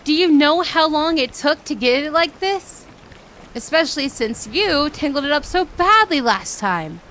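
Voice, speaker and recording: A woman's voice: sits high, expressive, a little slow, a bit bright, a little hiss or hum. A woman playing a proud, easily flustered and annoyed young mermaid princess in a roleplay audio drama, pouty and prone to stammering. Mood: indignant.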